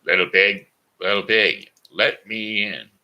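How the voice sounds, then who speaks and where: A man's voice: on the low side, fairly even intonation, slow pace, muffled recording. A man voicing a hungry, sly big bad wolf in a storybook tale with a drawling, coaxing delivery.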